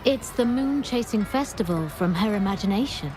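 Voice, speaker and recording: A woman's voice: mid-range voice, animated, normal speed, natural voice. An actress voicing a polite, welcoming female vendor at a festival stall in a video game, explaining her wares. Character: courteous female fan-painting vendor at a festival. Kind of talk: scripted video game voice acting. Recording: music playing behind the voice. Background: game music.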